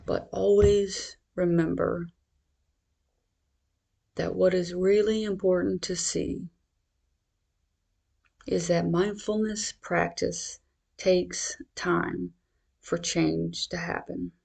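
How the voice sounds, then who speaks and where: A meditation guide speaking in a measured, reassuring, instructional manner. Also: mid-range voice, level tone, creaky, darkish tone, husky.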